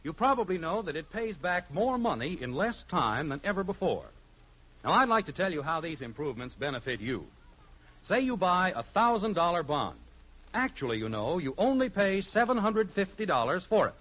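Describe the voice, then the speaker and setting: A man's voice: high-pitched, big pitch swings, moderate pace, fairly bright tone, highs cut off. A man with an American accent announcing in a scripted 1950s radio style on an old broadcast recording.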